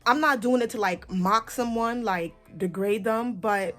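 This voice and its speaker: A woman's voice: on the high side, unhurried, fairly bright tone, soft background music. A young adult woman telling a slangy, casual storytime as a vlogger, emphatic, dramatic and freely swearing, repeating phrases for effect. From United States.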